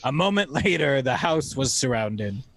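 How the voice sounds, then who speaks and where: A man playing a boy adventurer who narrates his tale in a radio play with deliberate, dramatic suspense. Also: medium pitch, big pitch swings, crowd noise. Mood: grave.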